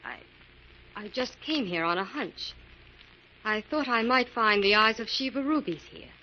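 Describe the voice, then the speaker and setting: A woman's voice: a little high, a little slow, lightly acted. A woman playing a plucky, eager young detective's assistant in a 1940s-style radio crime drama, on a muffled, hissy vintage recording. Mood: brave, careful.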